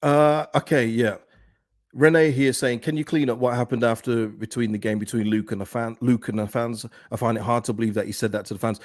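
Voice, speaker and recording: A man with a deep, soothing voice hosting a football fan channel, chatting in a casual, rambling way as he talks with viewers. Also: steady tone, brisk, darkish tone, no room sound, clean studio sound. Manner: conversational, rambling. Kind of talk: casual livestream chat, reading and answering viewer comments.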